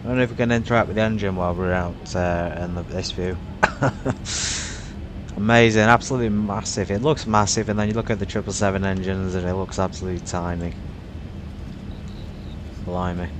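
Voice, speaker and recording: Male sim streamer, low-voiced, thinks out loud and chats casually; faint background hum. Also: steady tone, moderate pace, long pauses. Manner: chatty, musing aloud with frequent fillers. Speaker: flight simulator streamer. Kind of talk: casual live-stream commentary.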